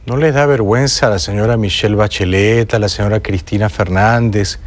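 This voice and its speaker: Low-voiced Peruvian man, sardonic. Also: steady tone, a little fast. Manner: sarcastic, indignant, flirtatious asides. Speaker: talk show host. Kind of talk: opinionated monologue.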